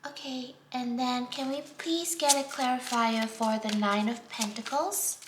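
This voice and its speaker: A woman from Singapore reads tarot cards in a slow, gentle, casual way, full of "um" and "like". Her voice sits a little high. She is recorded in a roomy, echoey space with a faint hiss behind her. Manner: gentle, unhurried, many fillers, drops to a near whisper while drawing cards. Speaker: tarot reader. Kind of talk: casual pick-a-card tarot reading. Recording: echoey room, faint background noise.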